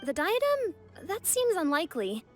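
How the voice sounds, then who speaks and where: High-voiced, brisk event organizer. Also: female voice, wildly swooping pitch, over loud music, heavily acted. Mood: businesslike. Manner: businesslike, clearly explanatory. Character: brisk, composed female competition organizer. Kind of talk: anime game dubbing.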